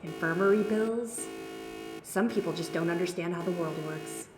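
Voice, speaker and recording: An actress playing a callous, bureaucratic labor-camp guard in a sci-fi audio drama, slow and condescending. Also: female voice, lowish voice, close to an everyday voice. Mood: dismissive. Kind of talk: science fiction audio drama acting. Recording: echoey room with music behind.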